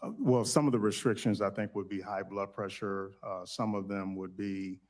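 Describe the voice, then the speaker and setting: A man's voice: lowish voice, fairly even intonation, moderate pace, a little mellow. An American man giving formal testimony as a nominee, hesitant, guarded and polite.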